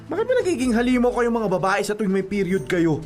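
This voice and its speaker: A whiny, animated young boyfriend. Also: male voice, high voice, quick pace. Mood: exasperated. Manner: playful whining, mock-deferential, exaggerated. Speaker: radio drama actor. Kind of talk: melodramatic radio drama dialogue in casual Taglish. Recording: music behind the voice.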